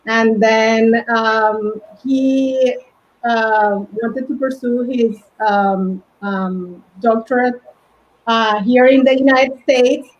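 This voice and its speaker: A woman from Colombia in her forties with a Colombian Spanish accent, talking haltingly with many fillers as an interview guest. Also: on the high side, steady tone. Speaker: guest, fashion stylist. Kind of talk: casual interview chat. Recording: dull lo-fi audio.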